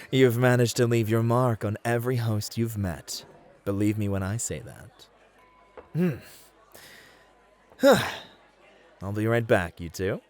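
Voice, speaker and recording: An actor playing a beefy, experienced club host, a flirty and politely teasing charmer in a scripted audio roleplay. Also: male voice, on the low side.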